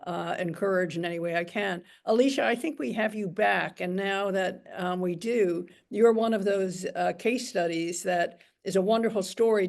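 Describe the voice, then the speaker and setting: A woman's voice: mid-range voice, vocal fry. A woman moderating a panel discussion, encouraging and hesitant, with fillers.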